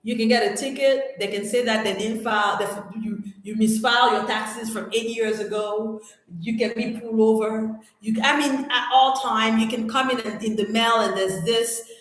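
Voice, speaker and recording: Lively echoey woman from Cameroon. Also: a little high, moderate pace. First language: French. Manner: animated, emphatic, slangy with rising exclamations. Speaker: livestream host answering viewer questions. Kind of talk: casual live Q&A chat. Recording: very echoey room.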